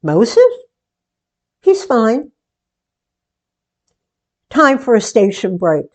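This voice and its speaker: Wry, chatty middle-aged woman for a radio play, acted by a woman, unhurried and deliberate, musing in theatrical monologue. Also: fairly high pitch, sing-song, heavily acted. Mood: musing, wistful. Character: wry, chatty grown woman running a book exchange.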